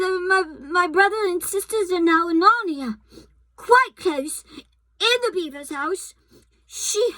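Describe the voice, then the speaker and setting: A woman's voice: very high voice, a bit bright, dry recording, pitched above the performer's own voice, rough recording. A woman voicing a frightened young boy who stammers and trembles as he speaks. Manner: stammering, breathless.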